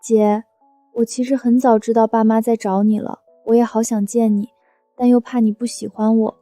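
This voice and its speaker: An actress playing a clingy, mischievous younger sister from the city, affectionate and pleading. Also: female voice, fairly high pitch, little pitch movement, normal speed, mellow, dry recording, soft background music, natural voice. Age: young adult. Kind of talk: scripted drama acting.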